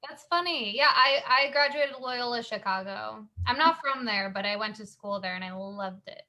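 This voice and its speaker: A woman's voice: a little high, moderate pace, pushed and bright. A woman from the San Francisco Bay Area who owns a small business, hosting a live online workshop in easygoing casual chat, often laughing and trailing off.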